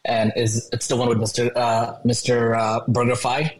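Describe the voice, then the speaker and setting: Upbeat man, halting chatty guest. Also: mid-range voice, monotone, slight background noise, poor audio. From Bangladesh. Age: 30s. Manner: many fillers and restarts, upbeat. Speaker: podcast guest, tech company founder. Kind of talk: casual interview chat.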